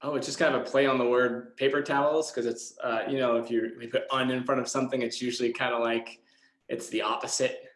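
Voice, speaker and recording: A man's voice: mid-range voice, little pitch movement, fast, fairly bright tone. A man speaking casually as a guest answering children's questions, in a plain, hesitant, self-deprecating way. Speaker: guest speaker from an eco products company. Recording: echoey room sound.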